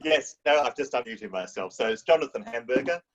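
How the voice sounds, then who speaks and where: A man's voice: medium pitch, expressive, brisk. A man giving a casual, self-effacing introduction over dull video-call audio. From Australia. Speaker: meeting participant, academic.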